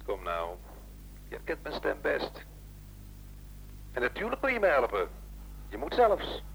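A man's voice: a little high, huge pitch swings, measured pace, creaky, fairly bright tone, breathy edge, heavily acted, poor audio. An actor playing a vindictive, taunting male caller who accuses insistently in a radio play. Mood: sly, taunting. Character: menacing, vindictive male caller haunting a guilty man.

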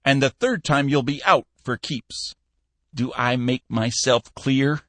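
A man reading an audiobook, voicing a shrewd, meddling old shipping boss in a high, purring, amiably sly voice. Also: takes it slowly, a bit bright, no room sound. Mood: sly amiable.

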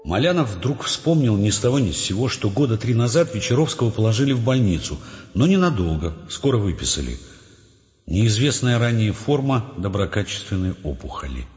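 A man's voice: lowish voice, big pitch swings, fairly quick, darkish tone. An actor playing a grave male narrator, telling a scripted story in a radio drama. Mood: measured. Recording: reverberant, slightly muffled, music behind.

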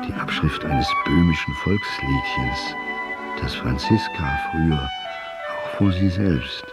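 An actor playing a pensive author, narrating a literary audio drama in a measured, contemplative way. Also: male voice, a bass voice, heavily acted. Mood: measured, wistful. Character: pensive male author telling his tale. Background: music.

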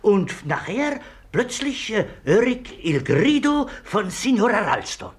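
A sly, excitable Italian gentleman in an old radio mystery. His pitch swoops about as he speaks hesitantly in broken phrases with a thick Italian accent, mixing in words of his own language. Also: takes it slowly, husky, full character voice. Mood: playful, theatrical. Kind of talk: 1950s radio play acting.

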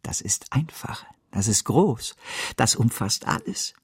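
An actor playing a bold, ambitious financier in a radio play, with theatrical, declamatory delivery. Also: male voice, medium pitch, sing-song, takes it slowly, husky, no echo, in a whisper, studio quality, heavily acted. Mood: grandiose. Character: bold, grandiose, ambitious male financier and speculator.

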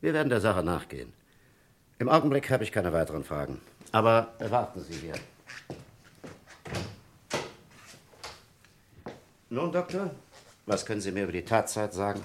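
An actor playing a brisk, commanding police captain in an old German radio crime drama, curt and probing as he questions people. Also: lowish voice, big pitch swings. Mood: businesslike. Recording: vintage radio play with clear background noise.

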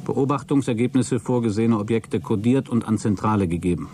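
A man's voice: on the low side, brisk, dark tone, a little hiss or hum, close to an everyday voice. An actor playing a wry male astronaut in a radio play, giving clipped, matter-of-fact flight reports.

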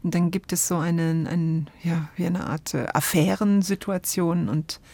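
A woman with a slightly low voice speaks in a dry, close studio sound. She hosts a show on radio plays in a casual, reflective way. Her delivery halts now and then as she searches for the right word. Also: steady tone, normal speed, warm tone, no room sound. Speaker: host of a radio drama podcast.

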